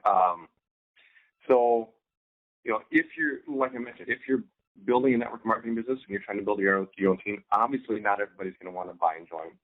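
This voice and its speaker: A man with a slightly low voice speaks through a thin, phone-like connection. He coaches informally, doubling back on his words and often checking in with a quick "right?". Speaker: co-host, online business coach. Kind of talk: informal instructional talk. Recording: thin, phone-like band-limited sound.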